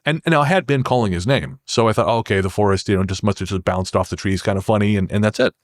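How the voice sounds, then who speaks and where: A man's voice: fairly low pitch, fast talker, no room sound, clean studio sound. A man speaking as a podcast guest, telling personal stories in a casual, reflective and wry way. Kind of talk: casual conversational storytelling.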